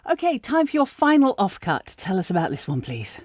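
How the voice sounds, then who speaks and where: A woman's voice: mid-range voice, huge pitch swings, fairly quick, a little mellow, no room sound, studio quality. A woman hosting a podcast, interviewing a guest in a conversational style.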